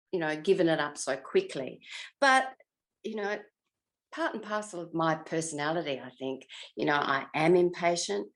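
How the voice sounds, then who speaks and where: Woman, sixties-plus, slightly low register. Retired actress interviewee, reflective and conversational, musing as she goes. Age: 60s or older. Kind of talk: reflective casual interview chat.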